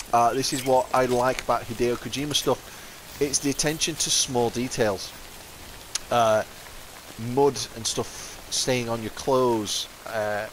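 A man's voice: medium pitch, slight background noise, rough recording. Chatty South Yorkshire man, rambling. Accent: South Yorkshire English. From England. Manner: rambling, chatty, self-correcting, laughs often. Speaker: gaming channel host. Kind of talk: casual let's-play commentary. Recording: game music faintly behind.

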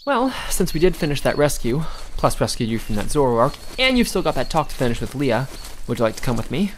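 A man playing a calm, protective creature fighter in a comic dub, composed and reassuring. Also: medium pitch, a little fast, in a large hall, a little hiss or hum, close to an everyday voice.

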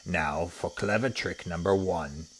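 Male reader doing a deep, scheming storybook crocodile, gloating and muttering. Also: unhurried, a little hiss or hum. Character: greedy boastful male crocodile, sly.

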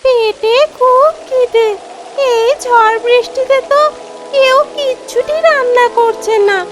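A woman voicing a greedy, scheming, hungry female ghost-witch who muses to herself in drawn-out, self-satisfied phrases in a cartoon. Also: extremely high pitch, fairly even intonation, a little hiss or hum. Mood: hungry, whining. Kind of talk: cartoon fairy-tale voice acting.

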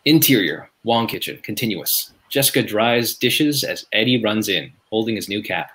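A man's voice: on the low side, expressive, moderate pace, a bit bright. A man reading scripted stage directions as narrator at a table read.

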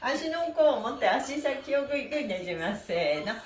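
A woman's voice: sits high, sing-song, a little fast, reverberant, a little hiss or hum. A middle-aged woman leading a live stretch class, cheerful and giggly, chatting to herself between chanted instructions. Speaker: stretching instructor and livestream host. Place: small bare-walled room indoors, seated on mat.

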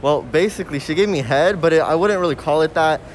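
A man's voice: on the high side, quick pace. A young man chatting casually and candidly as a street interview guest in a shopping mall. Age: young adult. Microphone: handheld recorder or phone held out to him. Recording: faint background mall noise.

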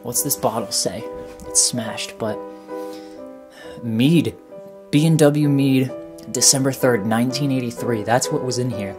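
A man's voice: mid-range voice, a little slow, pauses often. Young man musing aloud over music. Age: 20s. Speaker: urban explorer vlogger. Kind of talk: casual vlog narration while exploring. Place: indoors, rooms of an abandoned house.

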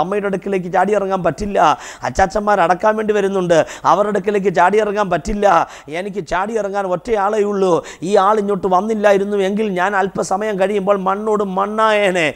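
A man's voice: sits high, little pitch movement, quick pace. A man delivering a fervent evangelistic sermon, emphatic and repetitive as he exhorts his listeners. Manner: emphatic, repetitive, exhorting, swinging between hushed and raised delivery. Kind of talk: fervent evangelistic sermon addressed to broadcast viewers.

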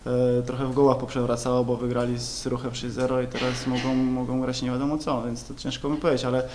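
A young man, a football player, answering questions at a press conference, halting with many repeated words and fillers. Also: mid-range voice, flat delivery, a little mellow, a little hiss or hum. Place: club press room, indoors. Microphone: table mics in front.

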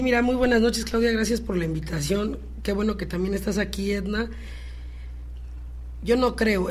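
A Mexican woman with a husky voice, a community leader talking deliberately as an interview guest and repeating words for emphasis. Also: medium pitch, a little mellow. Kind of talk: conversational interview talk.

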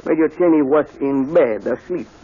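Husky, formal manservant. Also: male voice, on the high side, expressive, moderate pace. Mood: dutiful, formal. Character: stiff, loyal South American manservant. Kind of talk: 1940s radio acting. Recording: dull old radio broadcast.

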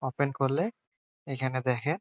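A man's voice: medium pitch, little pitch movement, normal speed, muffled recording. A man narrating a phone app tutorial step by step, in a repetitive, instructional way. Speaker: tech tutorial host.

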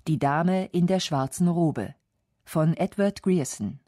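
A woman's voice: fairly low pitch, slow pace, warm tone, no echo, studio quality. A woman announcing radio play credits in a formal, scripted style.

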